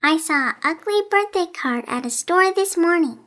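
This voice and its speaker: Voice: very high voice, animated, moderate pace, pushed and bright, pitched above the performer's own voice, heavily acted. A woman voicing a proud young boy in a children's story. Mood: excited.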